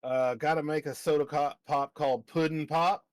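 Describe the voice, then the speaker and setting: A man's voice: on the high side, fairly even intonation, normal speed. A man joining a casual stream chat, riffing crude jokes and fake ad slogans. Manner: joking, crude ad-lib humor. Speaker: co-host on stream.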